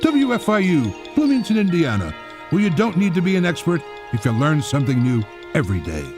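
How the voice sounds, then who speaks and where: A man's voice: fairly high pitch, wildly swooping pitch, brisk, music in the background. A man reading scripted radio station identification spots. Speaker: station announcer.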